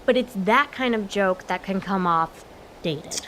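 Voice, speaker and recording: A woman's voice: mid-range voice, a little slow, a bit bright, natural voice. An actress playing an exasperated, sarcastic American daughter in her twenties in a comedic audio drama. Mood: weary, dry. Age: 20s. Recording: faint background hiss.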